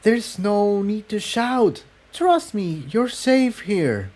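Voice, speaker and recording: A man acts out a little female pegasus from a fantasy storybook. The voice is lifted high and kept quiet. It is gentle, calm and reassuring. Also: takes it slowly, heavily acted.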